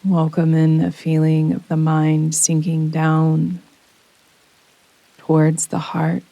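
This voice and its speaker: Very slow, hushed-toned woman guiding meditation. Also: sits low, completely flat delivery, vocal fry, no room sound, rough recording. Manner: soothing, gentle, unhurried with long pauses. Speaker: meditation guide. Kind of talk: guided yoga nidra relaxation meditation. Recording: close dry voice over rain sounds. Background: soft rain sounds.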